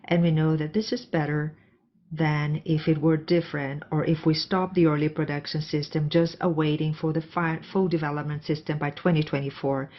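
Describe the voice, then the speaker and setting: Low-voiced woman interpreting, muffled audio. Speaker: simultaneous interpreter. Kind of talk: live interpreting of a corporate earnings call. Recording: dull, lo-fi conference call audio.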